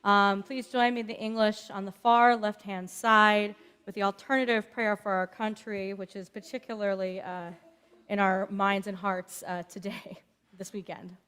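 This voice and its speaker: A woman cantor leading congregational prayer in a synagogue, with a measured, deliberate way of reading. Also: medium pitch, level tone, a bit bright, smooth. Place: synagogue sanctuary. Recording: long echo of a large hall.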